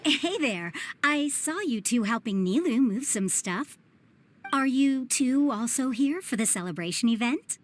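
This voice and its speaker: Brief: polite, welcoming theater prop engineer, slightly high voice. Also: female voice, animated, unhurried, a little hiss or hum. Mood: friendly. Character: friendly prop engineer and parent. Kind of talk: video game voice acting.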